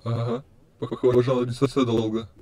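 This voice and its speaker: A man's voice: medium pitch, level tone, a little fast, a little mellow, lightly acted. A man voicing an insane, menacing skeleton in an amateur comic dub, with glitchy stutters and repeated words. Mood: uneasy, irritated.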